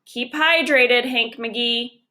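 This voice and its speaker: A woman voicing a bossy, prim young girl in a children's storybook, brisk and matter-of-fact as she corrects others. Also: on the high side, bright, forceful tone, exaggerated voice.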